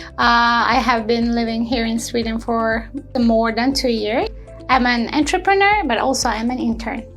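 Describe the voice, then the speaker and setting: A woman's voice: a little high, normal speed, a bit bright, faint music behind. Earnest young woman. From Turkey. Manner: earnest, searching for words with many fillers and restarts. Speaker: intern and entrepreneur. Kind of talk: personal testimonial interview.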